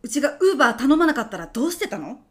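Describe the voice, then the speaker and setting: Actress as baffled housewife, highish pitch, swinging intonation, blunt disbelief, radio comedy. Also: female voice, big pitch swings, fast talker. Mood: creeped out, incredulous. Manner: incredulous, bluntly questioning. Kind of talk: comic radio drama acting.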